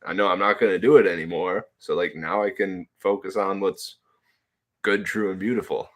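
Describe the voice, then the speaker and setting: A young man in his twenties with a deep, low voice talks loosely to his livestream chat. He rambles in a laid-back way, reads comments aloud, and laughs easily between thoughts. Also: steady tone, normal speed, fairly bright tone. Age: 20s. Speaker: livestream host reading chat. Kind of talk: casual solo livestream chat.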